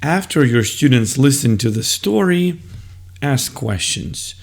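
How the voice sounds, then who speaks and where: A man explaining classroom methods as a teacher, in a deliberate, step-by-step instructional style. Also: mid-range voice, expressive, creaky.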